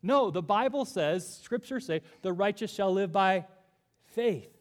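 A man's voice: high voice, lively intonation, a little slow. A male pastor preaching a sermon to a congregation in a church room, earnest and building through repeated phrases.

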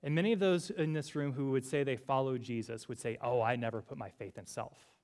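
A man's voice: mid-range voice, a little fast, darkish tone. A male pastor preaching a sermon to a congregation in a church room, earnest and building through repeated phrases.

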